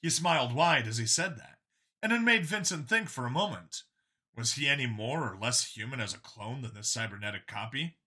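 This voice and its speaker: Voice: medium pitch, lively intonation, normal speed, sharp tone, slightly rough. A narrator reading a science fiction story aloud in a dramatic audiobook style.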